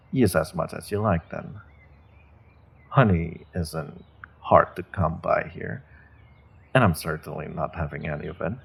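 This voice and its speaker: Man acting as evil sorcerer captor, low husky voice, menacing courtesy, deliberate delivery, fantasy audio drama. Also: full character voice. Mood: smooth, amused. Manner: measured, polite, veiled threats, deliberate pauses. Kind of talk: scripted fantasy audio roleplay.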